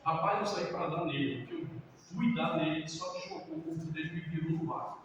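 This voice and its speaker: Middle-aged man, pastor, lively storyteller; distant mic in a reverberant hall with noise behind. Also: fairly high pitch, brisk, dull audio. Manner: lively storyteller, acts out quotes, laughs. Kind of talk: sermon-style talk with personal stories. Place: large church hall.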